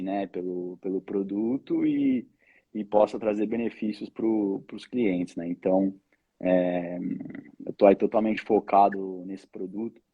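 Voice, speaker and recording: A man, chatting as an interview guest in a halting way, often checking for agreement. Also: lowish voice, husky. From born in Argentina, raised in Brazil from age two. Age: 20s. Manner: halting, seeks agreement with tag questions. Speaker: guest, family air-curtain business representative. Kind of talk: casual interview talk. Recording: dull, lo-fi online call audio.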